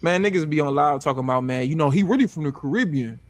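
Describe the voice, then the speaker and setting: Casting: quick, higher-voiced American man, slangy livestream banter, profane, repetitive, joking. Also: expressive, no echo. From United States.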